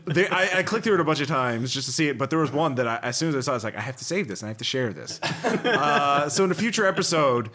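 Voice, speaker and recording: Male podcast host, animated and exclamatory, very lively intonation, casual gaming chat. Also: a little high, quick pace.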